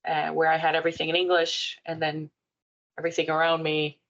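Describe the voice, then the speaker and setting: Brazilian woman in her 40s, Portuguese native, low with vocal fry, slightly muffled recording, reflective interview guest. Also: monotone, brisk, fairly bright tone. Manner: thoughtful, many fillers and restarts. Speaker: clinical psychologist guest. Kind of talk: podcast interview, personal story.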